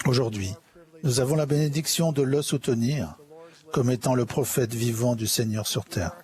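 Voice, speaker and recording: A man interpreting a church conference sermon in a measured, solemn, earnest way. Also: medium pitch, darkish tone. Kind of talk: formal sermon delivered in translation.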